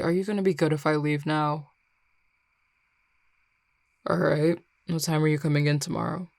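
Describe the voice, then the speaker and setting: A woman's voice: deep voice, vocal fry, no room sound, studio quality. A woman playing a grumpy young male coworker in an audio roleplay, curt and sarcastic with exasperated delivery. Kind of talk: scripted audio roleplay acting.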